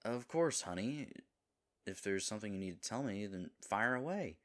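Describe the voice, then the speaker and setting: Male actor as goofy devoted boyfriend, low voice, very animated intonation, earnest, hesitant, intimate audio roleplay. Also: moderate pace, natural voice. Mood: worried, earnest. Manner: earnest, rambling, hesitant, dropping into breathy asides.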